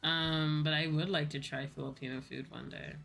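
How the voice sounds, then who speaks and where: Sleepy young woman, low-pitched, livestream chat. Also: steady tone, vocal fry, a bit bright. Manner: rambling, drawn-out, repeats phrases playfully. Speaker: VTuber livestream host. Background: background music.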